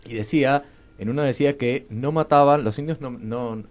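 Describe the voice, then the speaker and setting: An Argentine man with an average-pitched voice, co-hosting a casual radio chat. He feels his way through sentences, pausing to find the word. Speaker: radio co-host.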